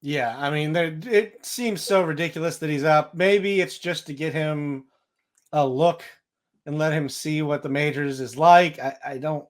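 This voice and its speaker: A man's voice: fairly high pitch, a little slow. A man hosting a sports podcast, giving casual, matter-of-fact baseball analysis.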